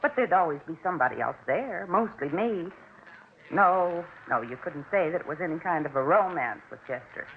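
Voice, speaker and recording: Creaky, chatty older neighbour lady. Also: fairly low pitch, animated, moderate pace. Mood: insistent. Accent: American. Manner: talkative, folksy, emphatic. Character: chatty, insistent older neighbour woman, folksy and fretful. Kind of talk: 1950s radio drama acting. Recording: tinny, noisy 1950s radio recording.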